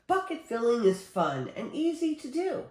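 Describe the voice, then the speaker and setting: An older woman reading a children's picture book aloud as a storyteller, with expressive, deliberate phrasing for young listeners. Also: fairly high pitch. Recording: roomy echo with background noise.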